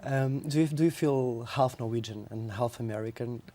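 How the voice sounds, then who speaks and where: Man, native Portuguese speaker, TV interviewer, halting questions, fillers, mid pitch. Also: mellow. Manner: hesitant, halting phrasing with fillers. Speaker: television interviewer.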